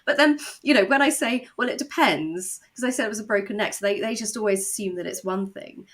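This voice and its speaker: A woman chatting casually as an interview guest, telling things in an animated way with quoted asides. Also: medium pitch, fairly quick. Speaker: guest, audio drama writer and novelist.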